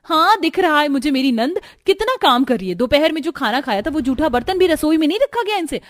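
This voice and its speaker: A woman's voice: sits high, expressive, a little fast, pitched above the performer's own voice. A woman voicing an overworked, complaining young daughter-in-law in a cartoon story, arguing emphatically. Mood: angry.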